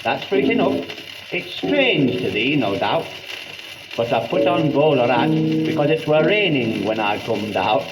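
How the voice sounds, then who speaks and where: A man's voice: mid-range voice, sing-song, a little slow. A man voicing an affable, folksy king with a Lancashire accent in a comic monologue. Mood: agreeable. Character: affable, homely king of England, adult man. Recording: echoey 1930 78rpm record with music.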